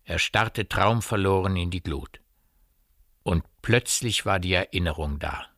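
A man's voice: low voice, measured pace, fairly bright tone, no room sound, studio quality. A man narrating a literary radio play as a scripted storyteller.

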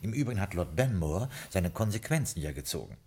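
An actor playing a snobbish, unflappable butler in a 1960s German radio drama, speaking with formal, measured, condescending politeness. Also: male voice, deep voice, very animated, darkish tone, slightly rough, full character voice. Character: unflappable, snobbish gentleman's butler with dry wit.

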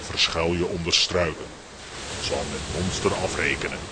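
A man playing a gruff, kindly heroic strongman in a children's audio play, speaking in clipped telegraphic phrases. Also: low-pitched, steady tone, normal speed, heavy background noise, natural voice. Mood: urgent. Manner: clipped telegraphic speech dropping pronouns.

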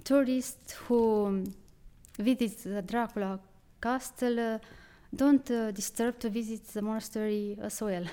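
Young nun in an interview, soft dark tone, really slow and halting, nervous. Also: female voice, fairly high pitch, fairly even intonation, clean tone. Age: 20s. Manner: hesitant, nervous.

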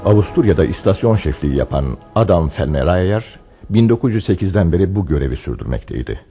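A man with a very deep, mellow voice narrates a radio play. His delivery is measured and solemn, with a husky edge. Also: big pitch swings, faint music behind. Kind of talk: scripted radio drama narration.

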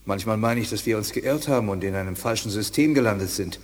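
A man's voice: fairly low pitch, fast, close to an everyday voice. Man as reverberant, skeptical reptilian commander. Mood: doubtful. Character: reptilian alien commander, doubtful subordinate turned defiant. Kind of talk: 1980s German radio drama acting. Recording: studio radio play with long reverb.

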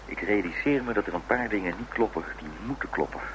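An actor playing a cool, terse private detective in a radio play, deadpan and clipped. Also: male voice, fairly low pitch, fast talker, lightly acted. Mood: cool, guarded. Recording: noisy old radio drama recording.

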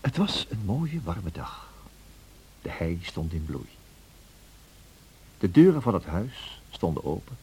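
Refined gentleman narrator in a radio play, deep n husky, measured but melodic. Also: big pitch swings, heavily acted. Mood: composed, reflective. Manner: measured, formal storytelling. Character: gentleman visitor narrating his memories, refined, observant.

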